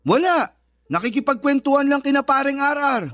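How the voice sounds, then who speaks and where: An actor playing a proud, insecure working-class young husband who argues defensively in a radio drama. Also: male voice, very high voice, brisk, fairly bright tone, no room sound, clean studio sound. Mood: breezy, defensive. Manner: dismissive, defensive, argumentative. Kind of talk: Tagalog radio drama acting. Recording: music bed behind the voice.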